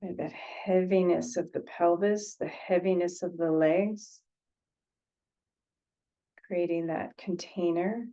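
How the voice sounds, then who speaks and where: A woman leading an unscripted guided meditation as a teacher, gently, with pauses, repeated phrases and sentences that trail off. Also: on the low side, fairly even intonation, lo-fi sound.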